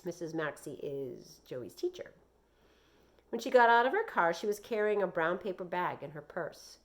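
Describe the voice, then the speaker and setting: A woman's voice: lowish voice, sing-song, moderate pace. A woman reading a children's novel aloud as narrator, with brief explanatory asides.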